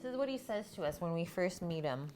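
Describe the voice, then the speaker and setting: A woman's voice: fairly low pitch, lively intonation, brisk, darkish tone, slight background noise. A woman hosting a live cooking stream, talking in a chatty, informal way to viewers as she works and tells stories. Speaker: cooking livestream host.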